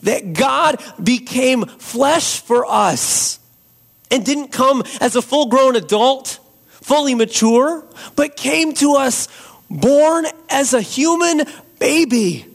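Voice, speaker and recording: A hoarse man preaching a Christmas Eve sermon and leading prayer as a pastor, slow and earnest. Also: very high voice, animated. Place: church.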